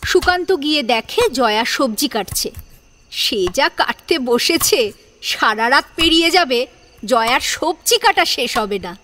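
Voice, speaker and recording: A woman's voice: high-pitched, lively intonation, unhurried, fairly bright tone. A woman narrating a dramatised family story from a script.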